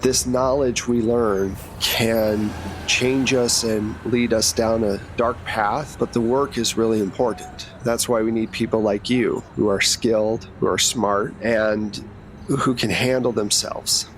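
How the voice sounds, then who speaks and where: A man voicing a no-nonsense female government agent who recruits with grave, deliberate persuasion in a tabletop game. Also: on the low side, fairly even intonation, a little hiss or hum, close to an everyday voice. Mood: grave, persuasive.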